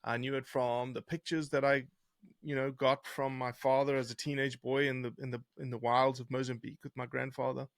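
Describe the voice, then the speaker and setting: A middle-aged man from South Africa with a softened South African accent, telling his story conversationally as an interview guest. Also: medium pitch, little pitch movement, a little fast, dry recording. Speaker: interview guest, conservation scientist.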